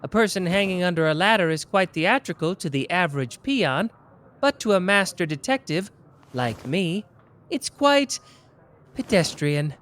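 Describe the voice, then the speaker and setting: Casting a performer for a boastful, playful young male sleuth, very animated delivery, soft ASMR roleplay. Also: mid-range voice, normal speed, a little hiss or hum, lightly acted. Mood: smug. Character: smug young male genius detective, candy-loving.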